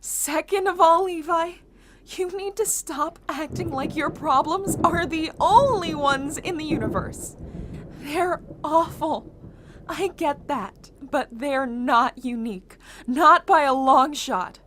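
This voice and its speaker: A woman's voice: high voice, slow pace, noisy background. A woman playing a guarded, guilt-ridden young survivor in an amateur zombie apocalypse audio drama. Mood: exasperated, scolding.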